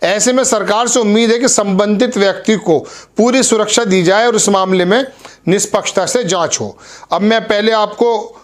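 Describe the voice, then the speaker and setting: A man's voice: high voice, normal speed. A man hosting an online news video, talking earnestly and correcting himself as he goes. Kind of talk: news commentary to camera.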